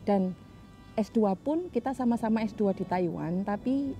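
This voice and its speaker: A woman's voice: mid-range voice, lively intonation, normal speed, mellow, faint music behind. A young adult woman from East Java, recounting her own story in a casual conversational way. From Jombang, East Java, Indonesia. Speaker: doctoral student telling her story.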